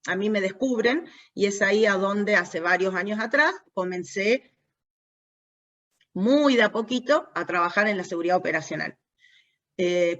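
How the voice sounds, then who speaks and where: A woman's voice: mid-range voice, fairly bright tone, lo-fi sound. A woman from the United States whose first language is English, speaking Spanish with an Argentine accent as an interview guest, reflective and often restarting her sentences. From United States (New Jersey). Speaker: guest, aviation safety specialist. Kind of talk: conversational interview.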